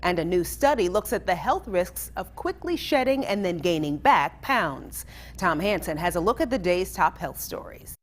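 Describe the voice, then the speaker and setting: A woman's voice: on the low side, very animated, normal speed, slight background noise. An American woman hosting a news show, reading scripted items as a presenter.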